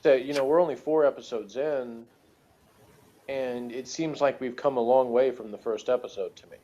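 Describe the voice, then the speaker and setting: A man talks casually as co-host of a review show. He feels his way through his explanations, often qualifying them, at a middle pitch. The sound is a little dull and lo-fi. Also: steady tone, moderate pace, warm tone.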